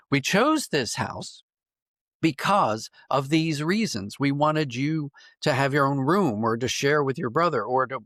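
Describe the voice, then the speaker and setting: A man's voice: on the high side, fairly bright tone, no room sound, clean studio sound. A man speaking as an interview guest, reflective and conversational, thinking aloud and correcting himself as he goes. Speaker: podcast guest, writer and dramatist.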